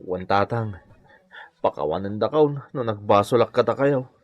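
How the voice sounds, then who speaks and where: An actor playing a whining, hapless gambling husband in a comedy radio drama, groaning and pleading. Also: male voice, on the low side, moderate pace, no echo, dull audio, soft background music, lightly acted. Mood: apologetic, meek.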